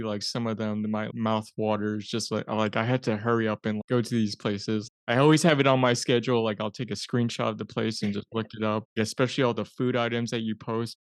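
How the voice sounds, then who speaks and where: Male podcast host, smooth resonant voice, admiring, casual, dry sound. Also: lowish voice, no room sound. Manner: friendly, admiring questions. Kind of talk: casual interview questions.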